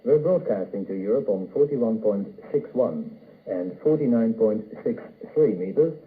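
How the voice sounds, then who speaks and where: A man's voice: fairly low pitch, lively intonation. Man announcing on tinny shortwave radio. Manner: formal, measured reading of frequencies. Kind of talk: formal scripted broadcast announcement.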